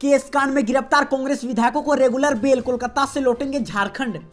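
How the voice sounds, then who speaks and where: High-voiced woman reading regional news. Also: a little fast. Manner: steady formal newsreader delivery. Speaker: news reader. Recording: faint music behind voice.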